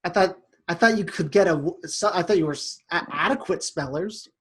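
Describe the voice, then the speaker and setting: A husky man chatting loosely on a podcast with his friends. He riffs on his own jokes and trips over restarts as he goes. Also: high-pitched, a little fast. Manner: joking, rambling with many restarts. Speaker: podcast host. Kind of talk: casual chat among friends.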